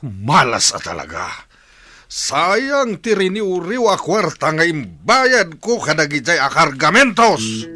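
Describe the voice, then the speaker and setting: A man plays a hot-tempered village crook in a radio drama. His voice is low and forceful, swinging widely in pitch as he grumbles and curses. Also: sing-song, unhurried, exaggerated voice. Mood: angry, dismayed. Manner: exasperated, grumbling, cursing. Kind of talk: Ilocano radio drama acting.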